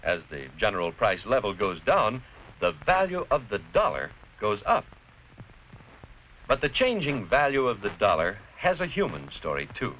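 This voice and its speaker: On a muffled, slightly noisy vintage classroom film soundtrack, an American man narrates from a script. His mid-pitched voice moves with animated intonation. He explains each point in a deliberate, instructive way. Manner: didactic, deliberate, clearly explanatory. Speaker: narrator-presenter of an educational film.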